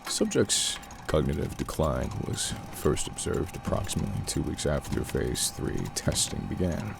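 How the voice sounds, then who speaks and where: A man's voice: extremely low pitch, animated, normal speed, vocal fry, full character voice. A man playing a research doctor in an audio drama, dictating case notes in a formal, detached, clinical manner. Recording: noisy archive tape with vehicle-like ambience.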